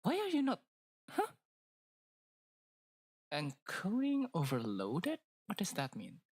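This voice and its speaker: A woman's voice: medium pitch, wildly swooping pitch, slow pace, a little mellow, slightly rough, dry recording. A woman streamer with a stuffy nose chatting casually and rambling, with lots of fillers and hesitations. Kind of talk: casual live-stream chat.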